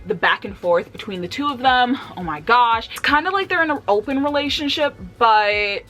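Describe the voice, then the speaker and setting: A woman chatting casually and candidly about books for a vlog, with an animated, slangy, giggly way of talking. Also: on the high side, moderate pace. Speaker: book reviewer vlogger.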